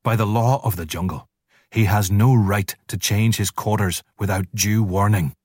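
A proud father wolf with a stern, blunt way of talking, read by a man. His low voice is close and dry, snapping short orders. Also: steady tone, moderate pace, dry recording. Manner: stiff, blunt, angry.

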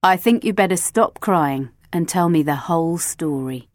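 A woman's voice: lowish voice, lively intonation, moderate pace, darkish tone, dry recording, studio quality, lightly acted. A woman voicing a sarcastic, firm adult woman who is a family friend. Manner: sarcastic, stern.

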